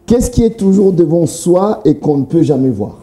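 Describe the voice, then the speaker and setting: A man's voice: fairly high pitch, animated, mellow. A man hosting a TV talk show, playful and laughing as he poses riddles to his guests. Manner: playful, laughs often, repeats questions slowly. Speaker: TV show host. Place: TV studio. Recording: echoey studio, faint background noise.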